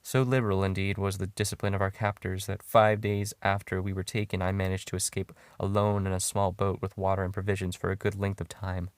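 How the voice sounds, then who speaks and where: A man giving a dramatic horror story narration in a brooding, halting way with pauses. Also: sits low, little pitch movement, clear, resonant tone, no room sound. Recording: music under the voice. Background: music.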